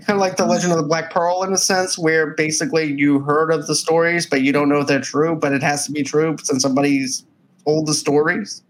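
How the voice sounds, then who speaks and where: A man's voice: fairly high pitch, fairly quick, a little hiss or hum, rough recording. A man co-hosting a movie review podcast, chatting casually and eagerly retelling scenes from the film. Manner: animated scene-by-scene retelling, quotes lines from the film.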